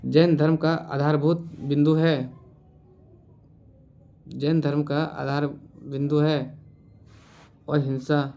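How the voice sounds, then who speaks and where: A man's voice: a little high, flat delivery, slow pace, pauses often, dark tone. A man teaching as a quiz host, reading out questions and answers in an instructional way and repeating the answers. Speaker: quiz teacher for exam preparation.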